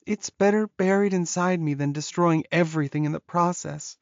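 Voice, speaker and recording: A woman's voice: fairly low pitch, moderate pace, darkish tone, no echo, lo-fi sound, lightly acted. A woman playing an anxious, self-doubting young werewolf in a dramatized audiobook, earnest and pleading.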